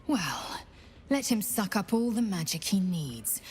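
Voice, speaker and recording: A woman's voice: mid-range voice, very animated, unhurried, slight background noise. A woman playing a stern, imperious female warrior in a fantasy video game, blunt and commanding. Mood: stern, scornful.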